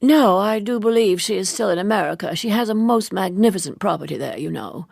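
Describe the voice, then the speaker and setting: A woman's voice: medium pitch, animated, brisk, creaky, a little mellow, no echo, studio quality. A woman voicing an earnest, opinionated young American woman in an audiobook novel, prim and gossipy. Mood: chatty.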